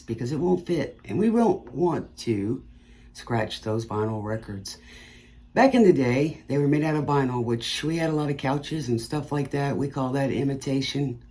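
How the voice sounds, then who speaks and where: A woman's voice: extremely low pitch, a little mellow. A woman tarot reader chatting casually to her viewers before a reading, rambling and stuttering at times and laughing at her own puns.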